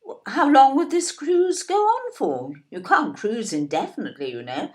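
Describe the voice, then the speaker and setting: An actress playing a fussing, incredulous older British wife in an audio play, fretful and full of exclamation. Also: female voice, high voice, huge pitch swings, moderate pace, a little mellow. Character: fussing, indignant older wife, doubting her husband.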